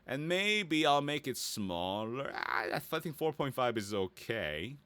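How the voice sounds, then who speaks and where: A man giving a casual, easygoing software tutorial, thinking aloud and muttering small asides as he works. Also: medium pitch, sing-song, slow, no echo. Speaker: voice actor giving a website-building tutorial.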